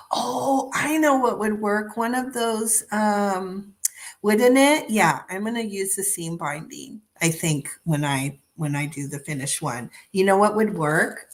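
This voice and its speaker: Chatty woman with a froggy throat. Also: on the low side. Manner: rambling, chatty, many fillers and restarts. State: slightly hoarse, froggy throat. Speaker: craft channel host. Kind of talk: casual live-stream craft chat. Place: home dining room craft table.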